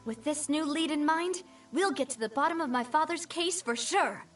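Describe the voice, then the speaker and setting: An actress playing a determined, passionate young woman in a video game, with an expressive, dramatic delivery. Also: sits high, normal speed, loud music behind the voice, close to an everyday voice. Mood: excited, determined.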